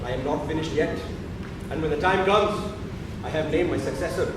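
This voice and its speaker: A man's voice: fairly high pitch, moderate pace, lightly acted. A man acting the part of an aged deposed emperor on stage, proud, bitter and indignant, with an imperious theatrical delivery. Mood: brooding. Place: theatre stage, recorded from far off. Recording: distant, echoey, noisy stage recording.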